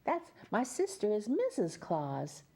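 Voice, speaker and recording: Female children's storyteller, Mother Goose type: leisurely delivery, animated intonation, picture-book reading with conversational asides. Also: mid-range voice, a little slow. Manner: explains pictures and places to young listeners.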